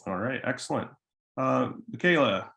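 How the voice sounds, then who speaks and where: A man's voice: mid-range voice, lively intonation, normal speed, creaky, fairly bright tone. A man moderating an online student panel, speaking with frequent ums and hesitant restarts. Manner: hesitant, many fillers.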